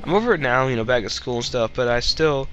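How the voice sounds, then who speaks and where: A man's voice: medium pitch, expressive, quick pace, fairly bright tone, muffled recording, faint music behind. A young man hosting a Let's Play with casual, rambling, jokey gameplay commentary and a slightly stuffy nose. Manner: rambling, goofy, jokey asides.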